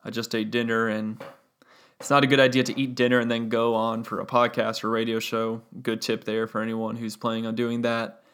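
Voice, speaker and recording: A young man with a slightly gravelly voice hosts a solo sports podcast. He talks the game over in a loose, analytical way, thinking out loud and dropping in fillers as he goes. His pitch sits right in the middle range. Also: level tone, brisk. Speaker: podcast host.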